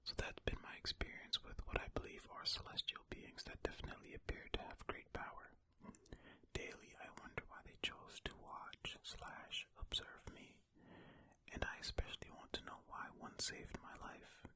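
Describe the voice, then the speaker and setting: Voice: normal speed, pushed and bright. An ASMR host whispering ear to ear in a tentative, musing way, reading and commenting close to the microphone. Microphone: close stereo ASMR microphone.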